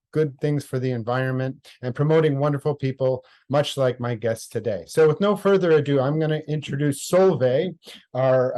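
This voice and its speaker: Male host, friendly, measured delivery, online talk. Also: mid-range voice, warm tone.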